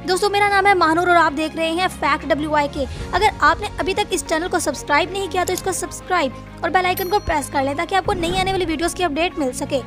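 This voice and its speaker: A woman's voice: very high voice, quick pace, few pauses. A woman, hosting a fact channel and reading scripted narration that addresses viewers as friends. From Pakistan. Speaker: channel host. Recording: voice-over with faint background music.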